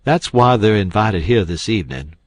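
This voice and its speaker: A man's voice: on the low side, animated, normal speed, no echo, clean studio sound. A man voicing a pompous, long-winded male con man who pretends to be English, with a self-satisfied, mock-solemn way of talking. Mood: unctuous.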